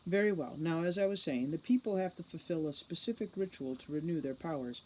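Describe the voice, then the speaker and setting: A woman's voice: deep voice, fairly quick, warm tone, slight background noise, close to an everyday voice, rough recording. A woman reading a storybook as a formal, condescending young boy mastermind who lectures impatiently. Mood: lecturing. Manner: formal, lecturing, precise diction.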